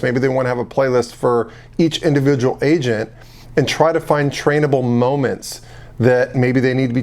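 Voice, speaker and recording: A man's voice: medium pitch, a little mellow. Man giving a conversational sales explainer. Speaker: call center software consultant and video presenter. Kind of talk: informal explainer talk to camera.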